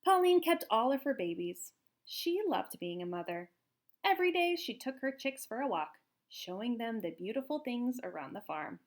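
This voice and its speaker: A woman reading a children's story aloud with expressive, emphatic narration. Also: on the high side, normal speed. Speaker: storybook reader.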